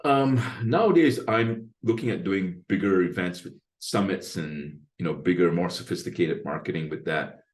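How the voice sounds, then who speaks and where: A man in his sixties with a deep bass voice, talking at length as an interview guest and explaining his approach point by point. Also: normal speed, few pauses. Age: 60s. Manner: talkative, explains with lists and asides. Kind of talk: casual interview talk.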